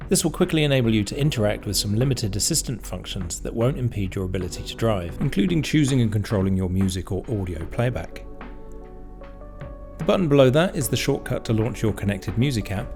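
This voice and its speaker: A man reading scripted instructional narration in a measured, explanatory way, as a tutorial narrator. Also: lowish voice, expressive. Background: background music.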